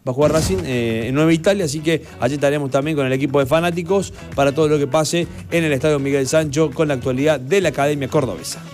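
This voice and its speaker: A brisk, informative man, running through local football news as a studio co-host. His voice is fairly high for a man. Faint music runs underneath. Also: a little mellow. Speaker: sports reporter and co-host. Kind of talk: sports news report and studio chat.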